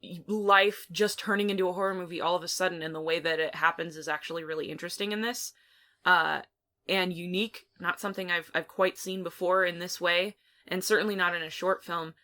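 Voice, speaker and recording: Female podcast co-host, lowish pitch, bright tone, loose conversational discussion. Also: fairly even intonation, fairly quick. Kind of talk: casual film discussion.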